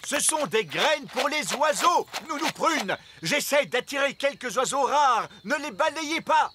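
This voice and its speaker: A man's voice: very high voice, lively intonation, a little slow, noisy background. An actor voicing a pompous, stubborn and childish king who loves birdwatching, in a French cartoon dub. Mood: absorbed. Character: pompous childish elf-world king, father, keen amateur birdwatcher.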